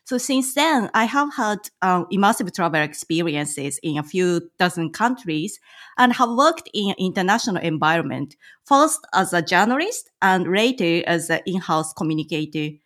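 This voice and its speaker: A woman's voice: fairly low pitch, expressive, a bit bright. Careful Japanese woman, second-language English. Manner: careful, measured, with hesitant "um" pauses. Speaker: guest, licensed tour guide. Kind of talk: podcast interview answers.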